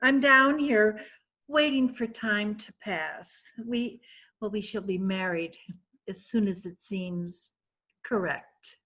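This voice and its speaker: A woman's voice: medium pitch, slow pace, pushed and bright. A woman reading the part of a wealthy, worldly woman proud of her new fiancé, in a chatty, self-satisfied way, for a readers theatre play. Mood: boastful.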